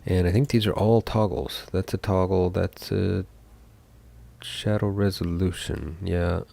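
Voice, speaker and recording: A young man from Seattle, a game developer talking casually while coding live, thinking aloud with many pauses. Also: deep voice, steady tone, gravelly, dark tone. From Seattle, USA.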